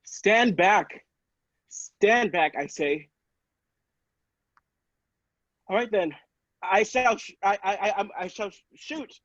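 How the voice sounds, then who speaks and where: A woman's voice: medium pitch, unhurried, no room sound, highs cut off, pitched above the performer's own voice, lightly acted. A woman playing an alarmed but defiant doctor in a classic radio play, speaking with dramatic emphasis. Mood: terrified. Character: alarmed, defiant doctor facing a murderous robot.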